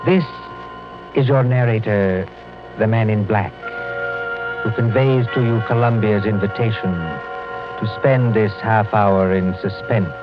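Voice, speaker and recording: A man narrating and announcing a radio mystery in a measured, dramatic 1940s style. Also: fairly low pitch. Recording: dull 1940s radio recording. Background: music.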